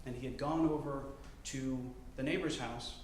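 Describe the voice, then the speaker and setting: A man in his twenties telling a story on stage, choosing and enunciating each word carefully, with deliberate pauses. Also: medium pitch, fairly even intonation, slight background noise. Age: 20s. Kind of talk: live storytelling performance. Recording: roomy live-venue sound.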